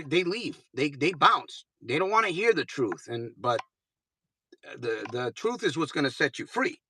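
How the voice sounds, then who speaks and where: Fifty-something guy coaching folks on a live stream, punchy forceful voice, dead dry sound, keeps repeating himself for emphasis. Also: high voice, husky, dry recording. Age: 50s. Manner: earnest, repeats phrases for emphasis; blunt and informal, swears casually. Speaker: gambling recovery coach. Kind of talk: motivational livestream talk.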